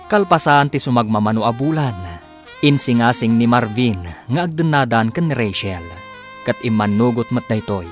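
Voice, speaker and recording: A man with an Ilocano accent delivering dramatic scripted narration as a radio drama announcer. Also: medium pitch. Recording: music bed under the voice.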